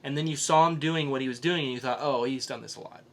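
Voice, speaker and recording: Bright-voiced man hosting a geek podcast. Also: medium pitch, fast. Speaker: podcast host. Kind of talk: casual comics and film review chat.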